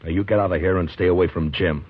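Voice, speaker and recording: Brief: calm, authoritative lawman narrator, low voice, muffled old radio. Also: quick pace, dry recording. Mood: firm. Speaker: lead actor and narrator. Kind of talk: 1950s radio drama acting. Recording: dull 1950s radio recording with music.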